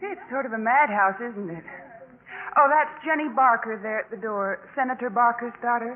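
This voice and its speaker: A woman's voice: fairly high pitch, normal speed, close to an everyday voice. A narrow, tinny old radio recording of an actress playing a snobbish young sorority woman. She gushes and gossips chummily in the manner of 1950s radio drama. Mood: gushing hospitality. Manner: gushing, gossipy.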